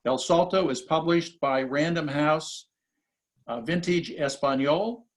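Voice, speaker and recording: Polite man hosting a video panel. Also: a little high. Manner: polite, measured, hesitant with fillers. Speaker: academic host. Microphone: video call.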